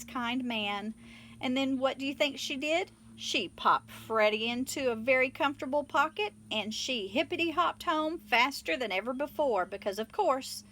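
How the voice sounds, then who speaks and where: Female storybook reader, expressive delivery, high pitch, bright tone. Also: a little hiss or hum. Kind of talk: expressive scripted children's story narration.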